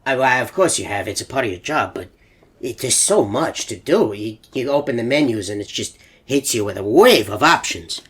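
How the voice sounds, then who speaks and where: An actor playing a rambling, forgetful older animatronic man in a video game, halting and stammering, with a husky voice. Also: medium pitch, lively intonation, fairly bright tone. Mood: amazed. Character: rambling, forgetful older male animatronic puzzled by modern technology. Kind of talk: video game character monologue.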